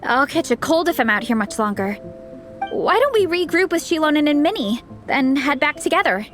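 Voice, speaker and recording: A woman's voice: sits high, sing-song, normal speed, a bit bright, faint music behind. A woman voicing a perky, encouraging young woman who leads a group, in an anime-style video game dub. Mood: weary, chatty. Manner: peppy, organizing.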